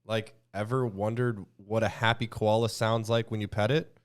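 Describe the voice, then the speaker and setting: A man's voice: on the low side, flat delivery, moderate pace, clean tone. A man co-hosting a podcast, chatting casually with joking, sarcastic swearing and reading posts aloud. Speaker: podcast co-host.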